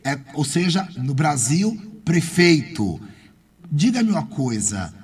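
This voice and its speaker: A man's voice: a little high, very animated, takes it slowly, slightly rough, far from the mic. A man with a Brazilian accent interviewing a guest on the radio, effusive and formal, asking long winding questions. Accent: Brazilian Portuguese.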